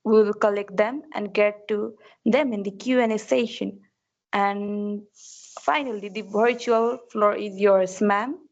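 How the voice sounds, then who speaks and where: A woman's voice: mid-range voice, fairly even intonation, measured pace, highs cut off. A woman hosting an online talk who reads a formal, scripted introduction of the speaker. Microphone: computer mic on a video call.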